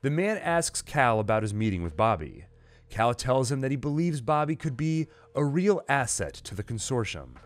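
A man narrating a scripted, dramatized story recap in the manner of a host. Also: mid-range voice. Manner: acts out quoted dialogue within narration. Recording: music under the voice. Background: dramatic music and sound effects.

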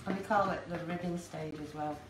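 A woman chatting casually about baking, relaxed and joking, as a grandmother. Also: lowish voice, a little fast. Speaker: guest baker and grandmother. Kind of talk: casual chat. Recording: distant voice in noisy echoey kitchen.

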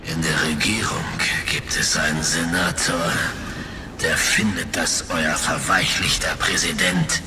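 An actor playing a pompous, villainous space pirate baron in a sci-fi audio drama, gloating with drawn-out, theatrical phrasing. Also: male voice, a bass voice, little pitch movement, over music. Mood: scheming.

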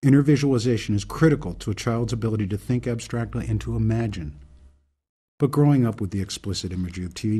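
An earnest older man played by a male actor makes a solemn speech in a radio play. His voice sits low, with a soft husky grain and a dark, mellow tone. He speaks deliberately, like a reformer stating a grave case. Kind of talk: radio drama monologue, oratorical.

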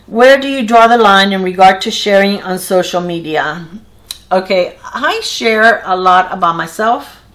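A woman's voice: medium pitch, animated, a little slow, fairly bright tone. An older woman with a light Cuban accent talks right into her webcam in a small room. She is chatty and earnest and wanders off track, often stopping to restart a phrase. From Cuba. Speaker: beauty channel creator. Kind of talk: casual talk to camera. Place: small indoor room with a wall of decorative tiles.

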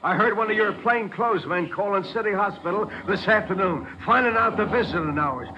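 An actor playing a tough, defiant, shady joint owner in a 1940s radio crime drama, slangy and blustering. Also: male voice, high-pitched, brisk, close to an everyday voice. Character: defiant, shady male bowling-alley owner, tough crook. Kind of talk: 1940s radio gangster acting. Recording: noisy, muffled 1940s radio recording.

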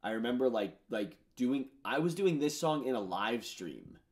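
A man's voice: mid-range voice, very animated, moderate pace, darkish tone. A man in his thirties talking casually about his own songs in a home studio, rambling with lots of fillers and enthusiasm. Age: 30s. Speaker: musician discussing his album. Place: home studio with purple lighting.

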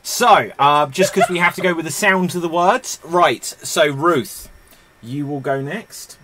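Guy hosting improv on a video call w/ headset, bright clear tone, slow easy pace, upbeat. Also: a little high, a little hiss or hum. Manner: upbeat, announcing. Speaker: improv game host. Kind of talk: hosting an online improv game.

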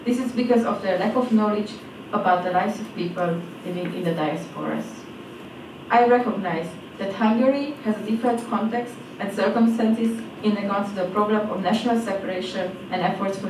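Young woman reading a formal talk from cards, recorded in a reverberant auditorium with noise behind her. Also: recorded from a distance. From Transylvania, Romania. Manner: reads from cards, phrases fall in pitch at their ends. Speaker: speaker at a presentation event. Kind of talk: reading a prepared speech. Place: large auditorium stage. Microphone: stand mic at a podium. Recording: echoey hall, voice from off-mic, audible noise.

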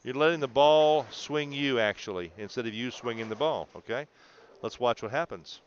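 A man's voice: mid-range voice, normal speed, dull audio, a little hiss or hum. A man coaching a student through video analysis in an encouraging, explanatory way, often checking in. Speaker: bowling coach.

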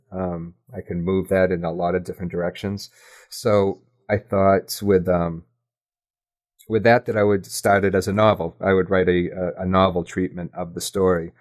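A man speaking as a guest in a casual interview, halting and self-effacing as he thinks aloud. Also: low voice, a little mellow. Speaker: podcast guest, audio drama creator.

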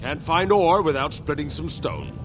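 Gruff dwarf merchant, gravelly, slightly high-pitched, blunt, dismissive, fantasy video game, music behind. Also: male voice, sing-song, moderate pace. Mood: confident, dismissive. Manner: blunt, dismissive, matter-of-fact. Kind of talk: video game dialogue acting.

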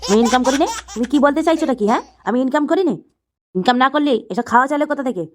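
A woman's voice: high voice, lively intonation, rapid-fire. A woman voicing an indignant, hot-tempered village husband and father in a comedy cartoon, with faint noise. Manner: blustering. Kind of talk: comic cartoon dialogue.

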